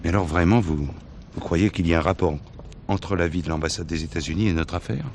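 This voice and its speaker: An actor playing an informal, sceptical police subordinate in a French stage thriller, familiar and insistently questioning. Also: male voice, low voice, quick pace. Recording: 1970s stage play recording, some hiss.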